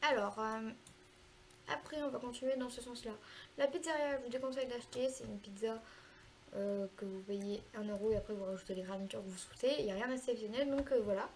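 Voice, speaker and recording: Congested high-voiced woman with a cold. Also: a little mellow, slight background noise. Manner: chatty, hesitant, frequent filler pauses. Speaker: gaming video creator. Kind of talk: casual gameplay tutorial commentary.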